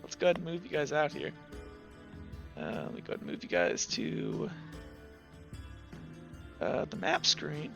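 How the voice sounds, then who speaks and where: A man's voice: fairly high pitch, sing-song, moderate pace, creaky, long pauses, lo-fi sound, over music. A man as game master, narrating a tabletop game in a chatty, informal, loosely improvised way.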